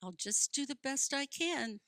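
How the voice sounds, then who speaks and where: A woman's voice: high voice, lively intonation, unhurried, bright, forceful tone, no room sound, clean studio sound, exaggerated voice. An actress in a radio play playing a skeptical, stubborn, gloomy woman who questions and argues. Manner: querying, argumentative.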